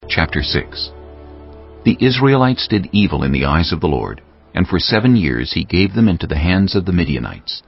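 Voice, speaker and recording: A man with an extremely deep, creaky voice narrates scripture as a measured storyteller. His intonation is lively and varied, rising and falling through each sentence. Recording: dull lo-fi audio, faint music. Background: music.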